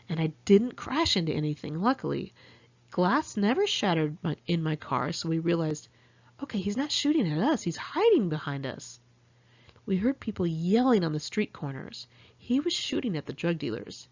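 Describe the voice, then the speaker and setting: Low-pitched American woman reading her memoir. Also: darkish tone, dull audio. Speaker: author reading own memoir. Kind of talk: first-person audiobook narration.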